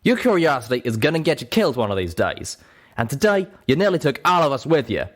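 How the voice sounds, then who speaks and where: A man doing a gruff drawling pegasus. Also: medium pitch, sing-song, brisk, pitched above the performer's own voice. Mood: angry scolding. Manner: drawling, scolding, forceful. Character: gruff male pegasus, protective, blunt.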